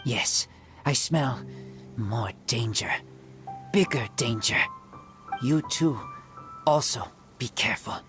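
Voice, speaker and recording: A terse wild youth, very low. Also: female voice, slow pace, pauses often. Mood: wary. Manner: terse broken phrasing. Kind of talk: video game cutscene voice acting. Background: game music.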